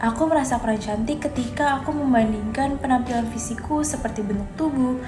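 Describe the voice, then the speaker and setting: A woman's voice: high-pitched, fairly quick. A 21-year-old woman giving a personal testimonial, recorded with room echo. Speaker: business analyst. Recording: echoey room with music.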